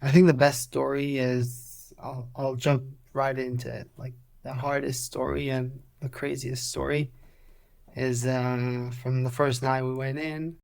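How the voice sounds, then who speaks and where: Israeli man in his twenties, gravelly. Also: medium pitch, flat delivery, darkish tone. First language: Hebrew. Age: 20s. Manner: measured, halting, many "uh" pauses. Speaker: guest, former soldier. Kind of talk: interview storytelling.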